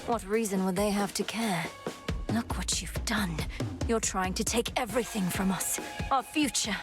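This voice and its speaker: An actress voicing a resolute young goddess heroine in a video game, terse, solemn and defiant. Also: female voice, medium pitch, normal speed, over music, natural voice. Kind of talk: dramatic video game acting.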